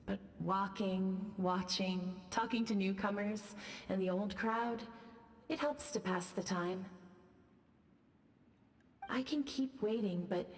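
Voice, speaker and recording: A woman voicing a patient, melancholy woman who has been waiting endlessly, speaking softly and wistfully. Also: medium pitch, slight background noise, close to an everyday voice. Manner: soft, wistful, measured. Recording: game audio with long reverb and faint music.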